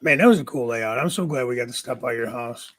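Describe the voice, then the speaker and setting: A man with a raspy voice hosting a casual livestream from home, greeting viewers by name and chatting. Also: medium pitch, fast talker, fairly bright tone. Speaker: livestream host.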